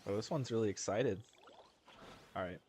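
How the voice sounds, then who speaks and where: A man's voice: fairly low pitch, normal speed, a little mellow, a little hiss or hum. A man livestreaming a video game, rambling casually as he chats to his viewers. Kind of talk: casual gameplay stream chat.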